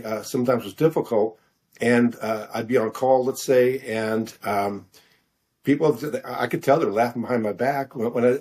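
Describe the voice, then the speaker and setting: A middle-aged man tells his story in a casual interview. His delivery is halting and self-deprecating, with words repeated while he thinks. The pitch sits in an ordinary male range. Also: a little mellow.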